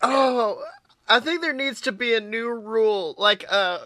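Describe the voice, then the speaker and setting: A man's voice: very high voice, measured pace, sharp tone. A young man co-hosting a podcast, chatting casually and swearing freely, with frequent laughs. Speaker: podcast co-host. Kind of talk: casual chat and reading fan fiction aloud.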